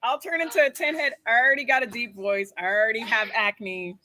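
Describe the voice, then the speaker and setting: Blunt, bright-voiced woman trainer. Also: on the high side, brisk. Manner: blunt and animated, swears, laughs often. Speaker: personal trainer. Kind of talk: casual chat, coaching a workout.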